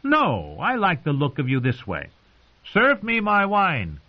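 A man doing a shrill spoiled boy king. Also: wildly swooping pitch, unhurried, highs cut off, heavily acted. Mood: mocking. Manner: petulant, shrill and commanding. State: choking and coughing. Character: cruel whiny teen boy king.

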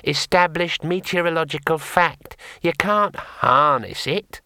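A small, gentle imp with a high, odd, slightly unreal voice that explains things slowly and patiently, as if stating plain facts, voiced by a man reading a storybook. The sound is bright and dry, close to the mic. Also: smooth, no echo.

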